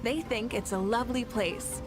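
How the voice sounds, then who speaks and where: A woman voicing a gentle, thoughtful young female companion in a video game. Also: on the high side, normal speed, lightly acted. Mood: thoughtful, admiring. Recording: game audio with music behind.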